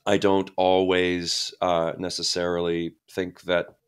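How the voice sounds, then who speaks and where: Deep-voiced reflective middle-aged man. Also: little pitch movement, smooth. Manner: reflective, halting, many restarts and fillers. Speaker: guest actor. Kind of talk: casual interview conversation.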